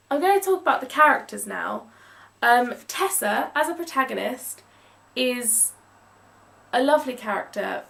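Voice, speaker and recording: High-voiced young English woman, far from mic. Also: creaky. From England. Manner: gushing, emphatic, with drawn-out stressed words. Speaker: book reviewer. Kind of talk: casual, chatty book review vlog. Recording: distant mic with some background noise.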